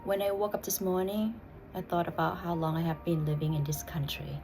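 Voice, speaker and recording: Thai lady vlogging, low voice, slow and careful, bit whispery, faint music behind. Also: little pitch movement. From Thailand. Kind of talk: personal vlog with read-aloud passage.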